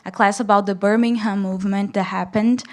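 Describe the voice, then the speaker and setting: A woman's voice: mid-range voice, fairly even intonation, fairly quick, a little mellow. A young woman from Brazil with a Brazilian accent, a native Portuguese speaker, speaking and reading aloud to an audience as a student. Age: young adult. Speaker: student reader at a public read-in.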